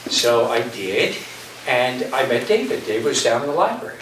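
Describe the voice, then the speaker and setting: A man giving an informal, anecdotal introduction as a theater director, halting and pausing often. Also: medium pitch, sing-song. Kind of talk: informal live introduction speech. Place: community center stage. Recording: echoey hall, distant mic, background noise.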